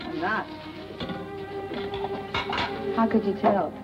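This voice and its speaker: A woman's voice: mid-range voice, drawn-out words, lo-fi sound. An American actress playing a flirtatious, cynical small-town woman in 1940s film noir style, wry, insinuating and slangy. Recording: band-limited 1940s film soundtrack, loud orchestral music.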